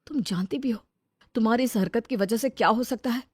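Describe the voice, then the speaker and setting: A woman's voice: brisk, a little mellow, husky, dry recording. A woman voicing a young adult elder brother in a storybook drama, speaking firmly and earnestly. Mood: stern. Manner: firm, earnest, mostly low-key. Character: young adult male elder brother, protective and level-headed. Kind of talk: dramatized Hindi storybook dialogue.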